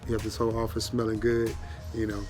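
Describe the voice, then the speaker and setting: A man's voice: fairly low pitch, fast, soft background music. A man, congested and stuffy-nosed from a sinus infection, casually updating his viewers with lots of ums. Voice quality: stuffy nasal voice. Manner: relaxed, rambling, with many ums and restarts. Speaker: fragrance reviewer, channel host.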